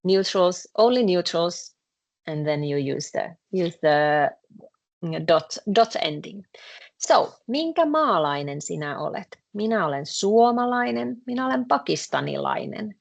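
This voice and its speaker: A woman with a Finnish accent teaching a language class, explaining patiently with frequent pauses and fillers. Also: lowish voice, lively intonation, vocal fry. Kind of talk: classroom lesson explaining grammar.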